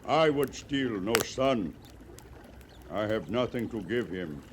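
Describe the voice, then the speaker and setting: A proud, grieving Cheyenne band leader in a 1950s radio western. He is low-voiced and very slow, choosing formal, simple words with solemn gravity. The vintage recording carries clear noise. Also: animated. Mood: sorrowful, solemn. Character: grave, weary Cheyenne band leader, proud bereaved father.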